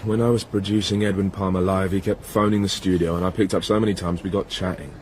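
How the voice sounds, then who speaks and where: An actor playing a breezy, slightly defensive radio producer nursing an injury, in a radio drama. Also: male voice, low-pitched, little pitch movement, fast talker, darkish tone, slight background noise, natural voice.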